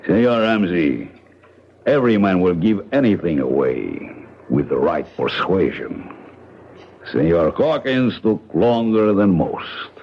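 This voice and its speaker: A man's voice: deep voice, very animated, slow pace, full character voice. A silky, menacing henchman. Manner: silky, threatening, sardonic. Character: cold menacing male henchman of a dictator. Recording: muffled old radio broadcast with faint hiss.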